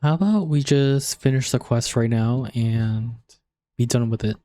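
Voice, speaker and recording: A woman's voice: very deep voice, measured pace, mellow. A woman giving playful, laid-back casual commentary as a game streamer. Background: game music.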